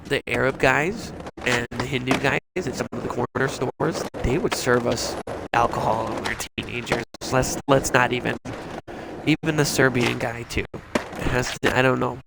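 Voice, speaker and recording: A man's voice: mid-range voice, vocal fry. A young man, from the suburbs, rambling crudely and profanely while streaming a game. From Chicago suburbs, USA. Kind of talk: casual rambling chat while gaming. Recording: loud background noise. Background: game sounds.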